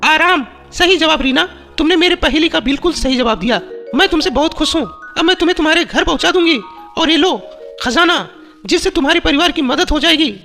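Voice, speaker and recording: A performer voicing an imperious magical female tree fairy who sets riddles in an animated moral story. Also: high-pitched, fairly quick. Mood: pleased, generous. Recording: long reverb, music bed.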